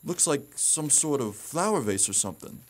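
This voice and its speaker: A man's voice: fairly high pitch, sing-song, slight background noise. A man playing a dim, good-hearted, timid crook's sidekick in an audio drama, with a hesitant, folksy manner. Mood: hesitant, naive. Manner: slow-witted, hesitant, folksy. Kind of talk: audio theater acting.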